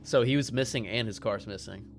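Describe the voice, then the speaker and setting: Gravelly, low-pitched man playing a tabletop game. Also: fairly quick, faint music behind. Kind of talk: casual game chat.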